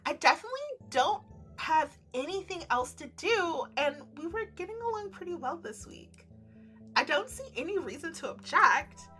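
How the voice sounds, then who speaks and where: A woman reading a visual novel aloud as a game streamer, with expressive scripted narration. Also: extremely high pitch, normal speed. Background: music.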